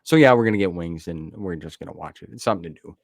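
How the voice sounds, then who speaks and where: Middle-aged American male host with a deep voice, plain-spoken and conversational, recorded very dry. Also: quick pace, no echo. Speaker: podcast host. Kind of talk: casual chat.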